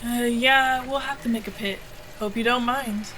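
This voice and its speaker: A woman's voice: a little high, steady tone, normal speed, background noise, close to an everyday voice. An actress playing a brash, wry female pilot and bounty hunter in an audio drama, with a casual, dry, slangy way of talking. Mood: casual, resigned.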